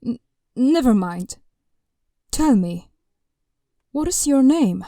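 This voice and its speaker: Here is a woman acting as a guarded female servant of death's master. The delivery is slow, dry and animated in pitch, formal and stern, as in a fantasy audio drama. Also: no echo, full character voice. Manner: formal, curt, deliberate.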